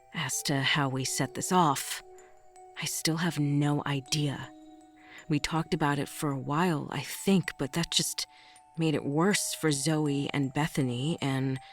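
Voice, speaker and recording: A woman's voice: low-pitched, gravelly, heavily acted. A woman narrating a first-person horror audio drama as a frightened, jittery survivor.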